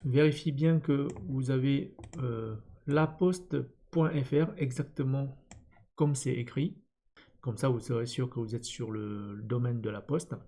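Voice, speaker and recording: Mellow-voiced man giving a hesitant tutorial. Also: mid-range voice, steady tone, unhurried. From born in Vietnam. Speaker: tutorial presenter, instructor. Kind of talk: unscripted step-by-step screen tutorial. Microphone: computer screen-recording voice-over.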